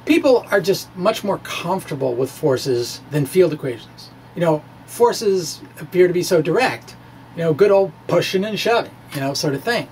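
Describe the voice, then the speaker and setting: A man's voice: a little high, moderate pace. Middle-aged man, noisy home recording. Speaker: science video blogger. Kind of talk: informal physics explanation to camera. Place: small indoor room with bookshelves. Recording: clear steady background noise.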